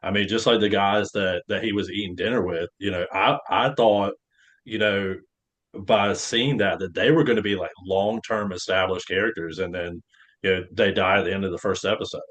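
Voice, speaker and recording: A man's voice: sits low, steady tone, normal speed, a bit bright. A man in an acting class, offering his thoughts in a casual discussion.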